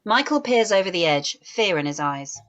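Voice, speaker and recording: A woman narrating stage directions in a script table read, measured and descriptive. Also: fairly low pitch, very animated, a bit bright, highs cut off.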